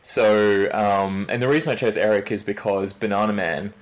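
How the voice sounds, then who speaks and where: A man's voice: fairly low pitch, steady tone, moderate pace, low-quality recording. A young man born in London and raised in Australia, with an Australian accent with a slight British tinge, chatting casually as a guest, laughing often and hesitating with fillers. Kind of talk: casual interview chat.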